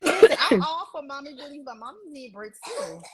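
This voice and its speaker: A woman's voice: sits high, brisk, a crowd in the background. A young adult woman, an interview guest, chatting casually and telling candid, folksy stories about her own life. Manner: candid, animated storytelling, folksy. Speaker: interview guest, travel agent. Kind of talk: casual chat, personal anecdotes.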